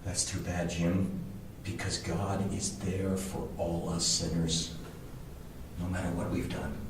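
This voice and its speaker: Echoey, deep, pious preacher. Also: male voice, level tone. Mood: pious, pressing. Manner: unhurried, sanctimonious. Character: pious, commanding male preacher pressing sinners to kneel. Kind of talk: radio drama acting. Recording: very echoey room, distant, background noise.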